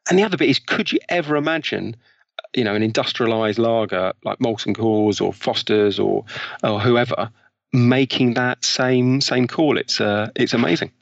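Brief: male guest author, lively explainer, dull and dry sound. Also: mid-range voice, normal speed, creaky, no echo. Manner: enthusiastic storyteller, explains studies with examples. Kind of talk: podcast interview.

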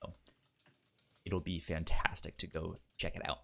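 A man chatting casually as a podcast co-host, stuttering on word onsets and often repeating words and syllables in a halting way. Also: low-pitched, little pitch movement, vocal fry, pushed and bright.

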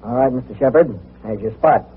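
An actor playing an authoritative police chief with a clipped, businesslike manner in an old-time radio crime drama. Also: male voice, medium pitch, brisk, close to an everyday voice. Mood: brisk, commanding. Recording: tinny, noisy old radio recording.

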